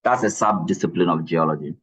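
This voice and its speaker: Male lecturer, low and breathy, patient and repetitive classroom delivery. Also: brisk, muffled recording. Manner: repeats key points, checks in with "all right?". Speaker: geology lecturer.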